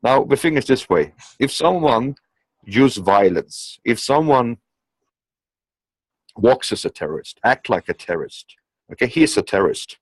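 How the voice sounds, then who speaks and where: A man's voice: lowish voice, husky, dry recording. A man, not a native English speaker, delivering an unscripted political monologue in an emphatic, insistent manner, often checking in with "okay?". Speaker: commentator.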